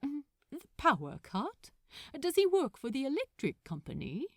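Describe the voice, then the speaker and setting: A woman voicing a polite, bookish, gentle man with a proper, hesitant manner in fan fiction dialogue. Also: high voice, huge pitch swings, moderate pace, no echo, studio quality, pitched above the performer's own voice, heavily acted. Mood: polite curiosity.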